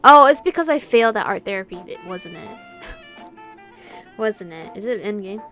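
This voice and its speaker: A woman's voice: mid-range voice, expressive, normal speed, music in the background. A woman giving blunt, sarcastic, sweary casual commentary as she plays a game. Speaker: gaming YouTuber. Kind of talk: casual let's play commentary.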